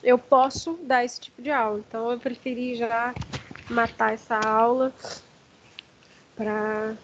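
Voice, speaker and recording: A hoarse woman with a Brazilian accent, giving an online lecture with many fillers and restarts. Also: fairly high pitch, little pitch movement, unhurried, muffled recording, a little hiss or hum. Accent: Brazilian Portuguese. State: hoarse from allergy.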